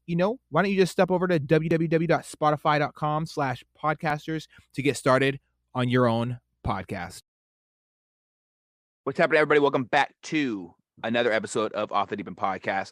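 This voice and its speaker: A man's voice: medium pitch, fairly bright tone, dry recording. Upbeat male podcast host, dry sound. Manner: upbeat, emphatic presenter delivery. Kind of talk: podcast intro and ad read, then interview.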